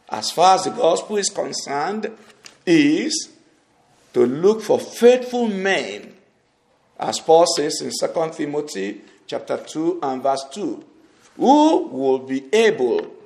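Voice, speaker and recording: A Yoruba-speaking man from Nigeria with a Nigerian Yoruba accent, preaching a sermon slowly and distinctly. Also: fairly high pitch, creaky. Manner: slow, deliberate and distinct.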